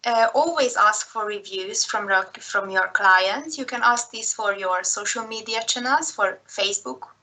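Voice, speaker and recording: A woman's voice: medium pitch, measured pace, sharp tone, rough recording. A woman from Hungary in her thirties with a Hungarian accent, giving a recorded slideshow talk in a careful manner with frequent fillers. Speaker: presenter.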